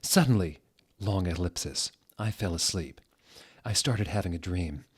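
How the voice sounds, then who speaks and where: A man hosting a mock-dramatic reading of fan fiction, deadpan, sounding out typos and punctuation as written. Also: low voice, unhurried, breathy edge. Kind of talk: mock-dramatic scripted narration.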